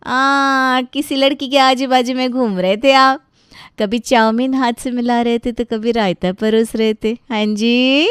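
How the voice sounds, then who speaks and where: A woman voicing a cheeky, chatty young bride in an animated family story, teasing and playful. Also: a little high, measured pace, smooth, no echo, clean studio sound, lightly acted. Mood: teasing, sly. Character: cheeky, chatty young bride who fusses over her in-laws.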